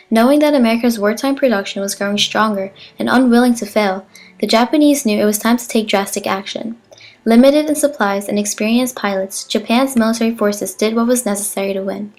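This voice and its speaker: A woman's voice: medium pitch, lightly acted. A woman playing an earnest, patriotic wartime newsreel narrator, reading a formal script in a declarative way. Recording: music behind the voice. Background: music.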